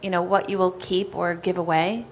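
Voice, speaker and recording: A woman's voice: on the low side, level tone, moderate pace, a little hiss or hum, low-quality recording. A woman in the audience asking a long, winding question with many fillers. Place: conference hall audience.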